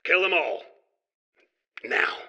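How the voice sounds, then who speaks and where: A man playing a smug, murderous villain boss in an audio play, theatrical and menacing with a rough, strained voice. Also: fairly high pitch, big pitch swings, takes it slowly, sharp tone, heavily acted. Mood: smug menace. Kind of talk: fantastical audio play acting.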